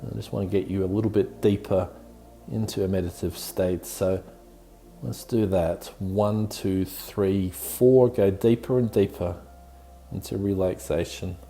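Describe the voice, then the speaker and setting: Male meditation guide: very slow, soft-toned and dark, soothing and encouraging, over a music bed. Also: low voice, steady tone. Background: background music.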